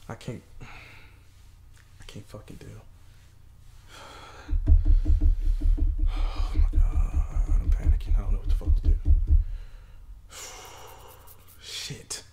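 A man's voice: medium pitch, a little slow, gravelly, long pauses, background noise. A man acting a jealous, anxious boyfriend in a song skit, swearing and wavering between panic and anger. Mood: agitated. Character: jealous anxious boyfriend waiting up all night.

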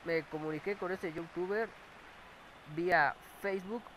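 A man's voice: high-pitched, unhurried, fairly bright tone, slight background noise, low-quality recording. A man with a Mexican accent and a cold, chatting casually while playing and swearing at the plays. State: has a cold. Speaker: gamer commentating his own match. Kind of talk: casual gameplay chat. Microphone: clip-on mic near his mouth.

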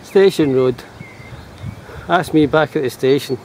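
A man's voice: fairly high pitch, unhurried, low-quality recording. A middle-aged Scottish man chatting casually to a handheld camera as he hikes outdoors. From Scotland. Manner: chatty, rambling talk to camera while walking. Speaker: vlogger. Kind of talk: casual hiking vlog. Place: outdoors on roadsides and forest paths. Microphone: camera held at arm's length. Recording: loud wind and passing traffic.

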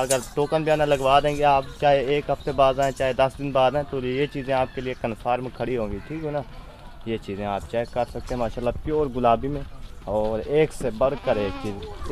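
A man's voice: mid-range voice, a little mellow. A young man, a goat farm owner selling his herd in a casual vlog, talking off camera, repetitive and salesy, recorded on a phone in an outdoor pen. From Pakistan. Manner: repetitive, salesy, frequent tag questions.

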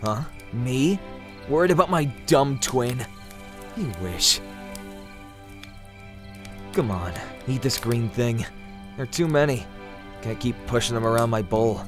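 A man's voice: mid-range voice, lively intonation, slow, pauses often. A man playing a young prince in an audio drama, a teasing but caring brother with a casual, offhand manner. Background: music.

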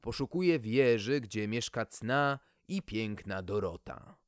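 A man's voice: fairly low pitch, lively intonation, slow, clear, resonant tone, dry recording, clean studio sound. Man playing a hesitant, courtly knight. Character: dim, courteous, bewildered knight errant.

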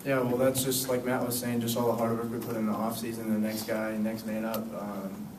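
A young college basketball player answers press questions in a low, fairly flat voice, leaning on fillers like "um" and "you know". He sounds far from the mic in an echoey room with steady background noise. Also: a little fast. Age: young adult. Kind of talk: postgame press conference answers. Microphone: table mic, picked up from a distance.

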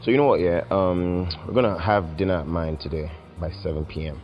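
A man's voice: low-pitched, fairly quick, faint music behind, pitched below the performer's own voice, natural voice. A man playing a laid-back, bossy young boyfriend on a phone call. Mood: casual. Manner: bossy, reassuring.

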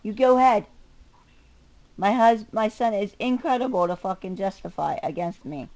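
A woman's voice: medium pitch, moderate pace, darkish tone, low-quality recording. A middle-aged woman in an angry, combative personal rant to camera, swearing and stressing her words. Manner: combative, profane, emphatic, swinging between muttering and raised voice. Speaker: self-recording vlogger.

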